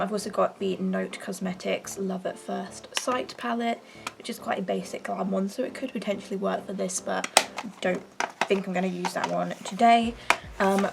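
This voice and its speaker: Croaky, chatty young woman. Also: mid-range voice, noisy background. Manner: chatty, self-deprecating, rambling. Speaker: beauty vlogger. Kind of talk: casual get-ready-with-me chat. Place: her bedroom.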